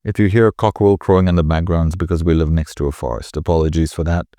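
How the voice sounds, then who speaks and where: Deep, dark-toned nasal man. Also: fairly even intonation, fast talker, dry recording, studio quality. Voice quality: slightly nasal. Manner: chatty, rambling, self-correcting. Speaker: tutorial host. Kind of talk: casual screen-recording tutorial.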